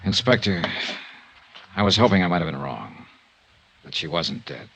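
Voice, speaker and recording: An actor playing a tough, terse, hard-boiled insurance investigator in a vintage radio noir serial. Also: male voice, sits low, sing-song, moderate pace, creaky, background noise, heavily acted. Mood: grim, grieving. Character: tough, grieving male freelance insurance investigator. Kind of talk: 1950s radio noir acting and narration.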